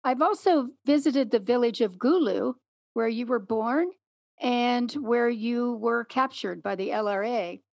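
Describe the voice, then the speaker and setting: A middle-aged woman hosting an interview, speaking in a clear, measured way. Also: on the high side, darkish tone, no echo, highs cut off. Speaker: podcast host and interviewer.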